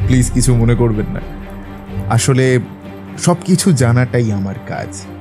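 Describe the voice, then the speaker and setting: An actor playing a shrewd, self-assured male detective in an audio drama, speaking in a measured, analytical way. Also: medium pitch, expressive, over music, close to an everyday voice. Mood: probing, composed. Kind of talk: Bengali audio drama acting.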